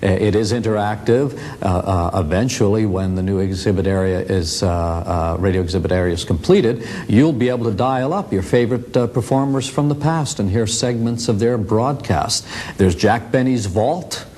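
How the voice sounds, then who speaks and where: A man giving an informal explanation in an interview, hesitantly listing things with halting pauses. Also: on the low side, mellow.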